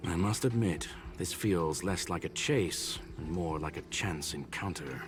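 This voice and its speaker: Deep, courteous mechanical gentleman. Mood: calm, musing. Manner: formal, measured, eloquent. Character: courteous, learned mechanical gentleman, calm and analytical. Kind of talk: scripted video game dialogue. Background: game music.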